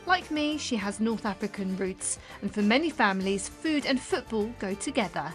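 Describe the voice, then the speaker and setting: London woman narrating a report, lively rising voice, music under it. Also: mid-range voice, moderate pace. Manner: personal, reflective narration.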